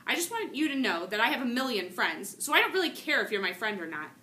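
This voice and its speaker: A woman's voice: a little high, sing-song, fast talker, sharp tone. A woman voicing a small, plucky and easily offended pet hamster from a children's storybook, speaking emphatically. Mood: indignant, defiant. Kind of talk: children's storybook character dialogue. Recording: noticeable background room noise.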